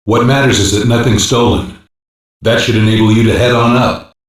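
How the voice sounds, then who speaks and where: A man's voice: mid-range voice, steady tone, moderate pace, natural voice. A man playing a practical cyber-police hacker who gives instructive, matter-of-fact mission support in a sci-fi game. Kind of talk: English animated sci-fi game voice acting. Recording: very echoey, distant-sounding game audio.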